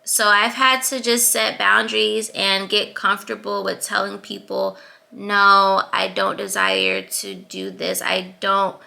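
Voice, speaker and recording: A young woman with a sweet, high-pitched voice giving casual, assertive advice to her vlog viewers, laughing now and then. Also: expressive, a little slow, creaky, sharp tone. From Atlanta, US. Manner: assertive, chatty, laughs between points. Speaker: YouTuber.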